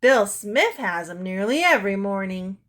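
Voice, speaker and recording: A woman's voice: mid-range voice, very animated, moderate pace, fairly bright tone. A woman voicing an easygoing, playful father in a storybook. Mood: mild. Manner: mild, playful. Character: mild, easygoing father, jovial.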